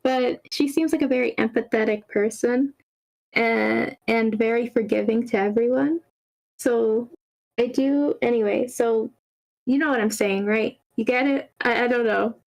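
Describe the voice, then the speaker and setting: A woman's voice: on the high side, fairly even intonation, unhurried, vocal fry, a little mellow, muffled recording. A woman giving informal, conversational feedback on an online meeting. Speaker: script feedback participant.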